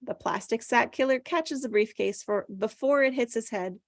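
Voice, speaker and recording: A woman's voice: on the high side, moderate pace, dry recording. Woman reading screenplay directions, dry sound. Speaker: stage-direction reader at a table read. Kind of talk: scripted narration of screen directions.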